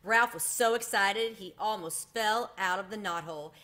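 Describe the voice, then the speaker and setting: A woman's voice: medium pitch, moderate pace, sharp tone. A woman, reading a children's book aloud. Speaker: storybook reader. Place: home room with bookshelves, indoors. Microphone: webcam at desk distance.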